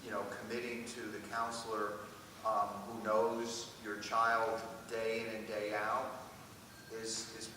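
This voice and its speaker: Middle aged american guy chatting on a panel, deepish voice, far from the mic in a big echoey hall. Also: completely flat delivery, a little slow, pushed and bright, slight background noise. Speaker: college admissions panelist. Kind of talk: informal panel answer, conversational. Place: large hall at a public panel event.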